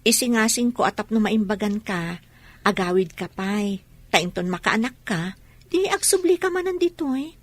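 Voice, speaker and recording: A woman's voice: mid-range voice, expressive, normal speed, close to an everyday voice. A woman with an Ilocano accent acting a sensible, friendly young village woman in a radio drama. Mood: advising.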